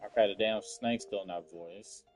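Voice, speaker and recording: A man's voice: lowish voice, moderate pace. Casual man commenting over game music. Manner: offhand, slangy, swears freely. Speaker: game streamer.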